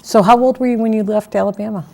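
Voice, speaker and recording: A woman interviewing a guest for an oral history, asking questions in a chatty, gently teasing way. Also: mid-range voice, steady tone, fast talker, creaky.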